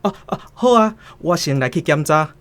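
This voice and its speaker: A man's voice: a little high, lively intonation, normal speed, a bit bright, dry recording. An actor playing an awkward, calculating doctor courting a woman in a radio drama, with a hesitant, hedging way of talking. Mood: agreeable. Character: awkward, calculating male doctor, a picky suitor. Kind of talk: Taiwanese radio drama acting.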